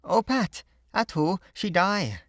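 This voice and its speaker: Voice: mid-range voice, big pitch swings, measured pace, creaky, fairly bright tone, dry recording, studio quality, pitched above the performer's own voice, exaggerated voice. A reader voicing a small eager girl from Chinatown who speaks in broken English. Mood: wistful. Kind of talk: storybook read-aloud.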